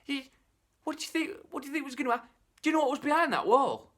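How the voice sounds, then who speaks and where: Man doing a shrill scolding wife. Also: expressive, quick pace, a bit bright. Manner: nagging, exasperated.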